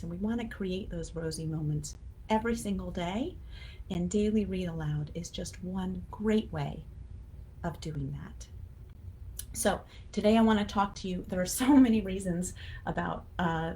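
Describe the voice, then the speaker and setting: A woman's voice: fairly low pitch, dark tone. A woman giving a casual, encouraging educational talk to parents, conversational with emphatic stresses on key words. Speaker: children's literacy educator and blog host. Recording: clear background noise.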